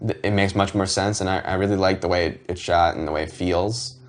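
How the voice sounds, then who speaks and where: A man's voice: sits low, fairly even intonation, a little fast, a little hiss or hum. A man chatting casually as a show host, giving commentary among friends.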